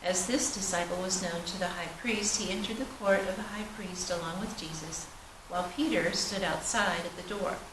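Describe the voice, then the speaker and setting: A woman's voice: lowish voice, normal speed. A woman reading scripture aloud in a solemn style at a church service. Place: large indoor church space. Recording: roomy, noisy, distant church recording.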